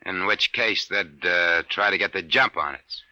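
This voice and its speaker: An actor in a 1950s radio drama playing a brisk, sardonic, skeptical hard-boiled private detective. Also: male voice, low-pitched, sharp tone, heavily acted. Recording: muffled vintage 1950s radio recording.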